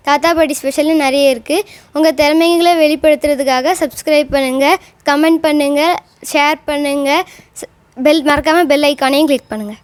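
A female speaker with an Indian Tamil accent, presenting in a vlog style. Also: high voice.

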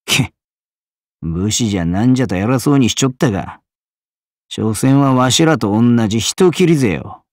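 A man voicing a surly, boastful swordsman assassin in a game, with rough, sneering Tosa-dialect speech. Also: medium pitch, expressive, normal speed, no echo, studio quality, close to an everyday voice. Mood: scornful. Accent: Tosa dialect of Japanese. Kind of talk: game character voice lines.

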